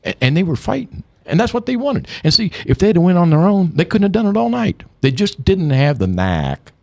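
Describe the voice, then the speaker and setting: An older man, a guest on a radio talk show, telling stories in an animated way and repeating words as he gets going. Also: high voice, a little fast, gravelly. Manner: animated storyteller, repeats words when excited. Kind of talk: radio interview.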